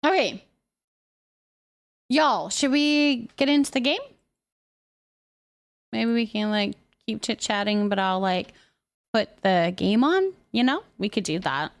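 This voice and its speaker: A woman's voice: fairly high pitch, animated, takes it slowly, pauses often. A woman livestreamer chatting casually and ramblingly with her viewers in a chatty, giggly manner. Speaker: live streamer. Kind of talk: casual livestream chat, reading and answering viewers.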